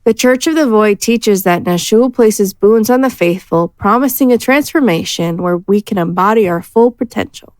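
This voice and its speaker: A woman's voice: medium pitch, moderate pace, hardly any pauses, darkish tone, dry recording, lightly acted, low-quality recording. A woman playing a learned, matter-of-fact adventurer who explains things articulately in a fantasy audio drama. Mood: explaining. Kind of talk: scripted audio drama acting.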